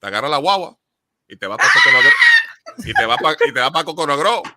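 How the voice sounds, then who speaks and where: A man's voice: a little high, huge pitch swings, fast talker, shouting, heavy background noise. A male show host chatting casually and enthusiastically, often repeating words as he rambles. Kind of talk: casual interview chat.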